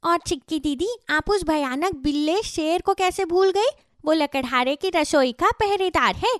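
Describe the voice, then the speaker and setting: Squeaky, extremely high little squirrel voiced by a woman. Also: measured pace, no echo, studio quality. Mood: fearful. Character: timid but eager young female squirrel sidekick. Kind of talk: Hindi children's cartoon voice acting.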